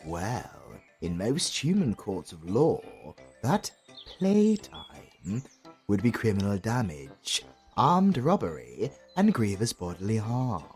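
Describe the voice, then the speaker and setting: A man acts a retired British supervillain in an audio comedy. His voice is on the low side and unhurried, with big theatrical swings of pitch. He is sardonic, wordy and pompous, like a put-upon gentleman. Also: wildly swooping pitch, faint music behind, exaggerated voice. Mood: patient, explaining. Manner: dry, sardonic, pompous, articulate. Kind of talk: acted comedy audio roleplay.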